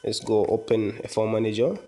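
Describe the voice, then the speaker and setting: A man with a fairly low voice presents a casual app walkthrough. He explains each step informally, like a presenter guiding viewers through a screen. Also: steady tone, brisk, mellow, a little hiss or hum. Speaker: tutorial presenter. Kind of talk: casual software walkthrough narration.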